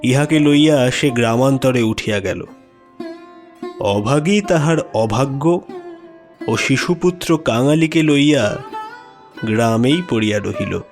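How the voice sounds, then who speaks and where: A man narrating a classic literary story in a measured, expressive, dramatic way. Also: mid-range voice. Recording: music behind the voice.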